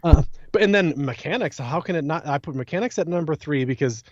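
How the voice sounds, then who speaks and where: Animated man chatting, dry dull recording. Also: on the high side, a little fast, no room sound. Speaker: podcast co-host or guest. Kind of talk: casual chat.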